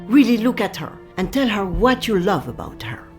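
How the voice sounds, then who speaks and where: A woman's voice: medium pitch, sing-song, normal speed, soft background music. An actress playing an older French café madame in an audio drama, wry, wise and motherly, with a coaxing, theatrical way of commanding. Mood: gently commanding.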